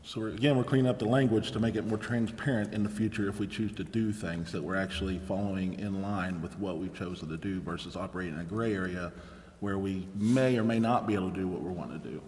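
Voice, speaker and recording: A man's voice: deep voice, fast, a little mellow. A man speaking as a mayor running a council meeting, discussing matters with the other members. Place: indoor council chamber. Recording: reverberant meeting chamber with background noise.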